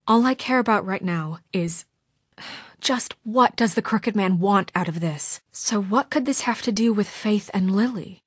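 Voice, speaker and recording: A woman's voice: a little high, dry recording, studio quality. A woman playing a principled young deputy mayor in a story video game, speaking with earnest, firm exasperation in naturalistic dramatic dialogue. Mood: defensive, exasperated, then puzzled, probing.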